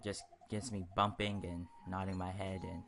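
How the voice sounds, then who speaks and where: A man's voice: low-pitched, little pitch movement, normal speed, a bit bright, slight background noise. A man giving casual let's play commentary on a video game, in a hesitant, rambling, stop-and-start way. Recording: game music behind the voice. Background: video game music.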